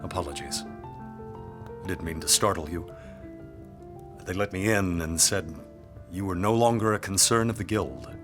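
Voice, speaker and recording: A low-pitched actor playing an ardent aristocratic man, courteous and full of regret. He speaks formally and tenderly, as in a period romance radio play, with music clearly behind him. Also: normal speed, long pauses, lightly acted. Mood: apologetic, subdued. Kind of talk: period romance audio drama acting.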